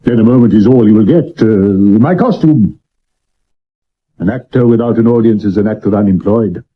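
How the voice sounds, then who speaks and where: A man's voice: fairly low pitch, moderate pace, slightly rough. A man playing a grand, vain Victorian stage actor in a 1970s radio drama, with a British accent and a theatrical, wry delivery on a dull broadcast recording. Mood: wry, subdued.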